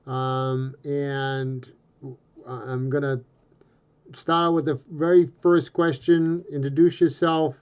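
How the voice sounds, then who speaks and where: A man's voice: medium pitch, flat delivery, takes it slowly, clear, resonant tone, dull audio. A man hosting an interview over a video call, asking rambling, stop-start questions. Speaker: host, interviewer.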